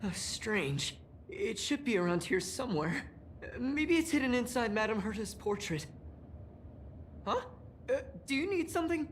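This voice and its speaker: A woman's voice: a little high, very animated, a little slow, pauses often, a little hiss or hum. A woman voicing an earnest, talkative young cybersecurity engineer in a video game, explaining things expressively. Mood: puzzled. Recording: game music behind voice. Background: game music.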